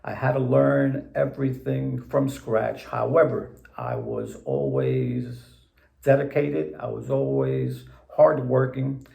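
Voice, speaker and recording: Slow gravelly older man from Puerto Rico. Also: mid-range voice, big pitch swings, mellow, echoey room. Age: 70s. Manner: slow, deliberate, plain-spoken. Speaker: interviewee judge. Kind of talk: measured recollection in an interview.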